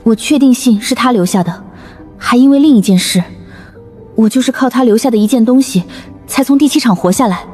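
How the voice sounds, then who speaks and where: A young female survivor played by a woman in an animated drama, with a mellow, slightly high voice. She is composed and persuasive, explaining herself in measured, earnest phrases. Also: soft background music, lightly acted. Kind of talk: scripted animated drama acting.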